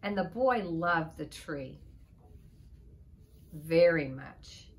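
Female storybook reader, lowish pitch, slow pace. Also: expressive. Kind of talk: storybook read-aloud.

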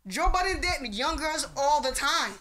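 A young woman on a radio talk show argues insistently, talking over the others. Her voice sits high and sounds bright and ringing. She is close on a studio boom mic in a small room. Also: animated, unhurried. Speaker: co-host. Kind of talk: heated casual debate. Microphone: studio mic on a boom arm.

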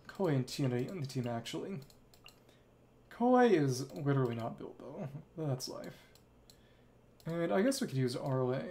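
A woman's voice: a bass voice, mellow, slight background noise. A woman streamer giving live gameplay commentary, musing aloud and reading out game dialogue as she plays. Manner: musing aloud, switching between reading and reacting. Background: game music.

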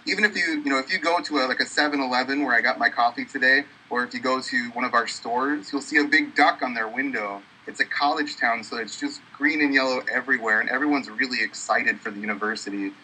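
Man, upbeat, eager, very bright tone, webcam call, faint noise. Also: mid-range voice, fast, few pauses, rough recording. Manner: upbeat, eager, many fillers. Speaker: university admissions representative. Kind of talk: enthusiastic promotional talk. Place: indoor office on video call. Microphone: webcam on video call.

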